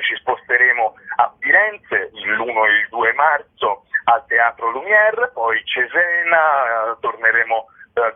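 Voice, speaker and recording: A man giving articulate, wordy interview answers as a playwright, with literary phrasing, heard through a muffled, band-limited connection. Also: medium pitch. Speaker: playwright and director being interviewed. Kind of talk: articulate spoken interview answers about theatre. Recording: muffled band-limited radio phone-style sound.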